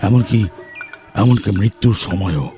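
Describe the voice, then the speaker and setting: A man playing an ailing, bewildered father and householder in an audio mystery, halting and hesitant. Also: lowish voice, expressive, dark tone, soft background music. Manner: halting, hesitant, repeats himself. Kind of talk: audio detective story acting.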